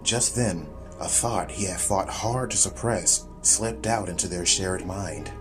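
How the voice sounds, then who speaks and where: Low-voiced male dramatic audiobook narrator. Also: unhurried, over music. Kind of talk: scripted dramatic story narration.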